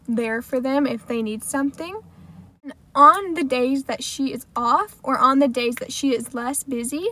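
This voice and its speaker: A young American woman with a high voice chats casually in a vlog recorded inside a car. Her talk is informal and chatty, sprinkled with "like" as she explains things to the camera. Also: a bit bright. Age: 20s. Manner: chatty, frequent "like" as filler. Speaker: salon assistant vlogger.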